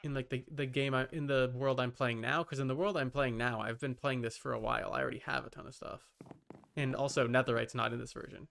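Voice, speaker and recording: A man livestreaming a game in casual chat, rambling and halting as he mutters to himself and answers viewers. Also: medium pitch, steady tone, gravelly, a bit bright, slight background noise.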